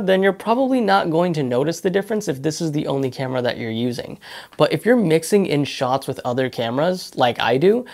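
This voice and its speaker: A man's voice: mid-range voice, a little mellow. A man giving a casual spoken review of a camera to his viewers, talking conversationally into a lavalier mic and offering direct advice. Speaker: tech product reviewer.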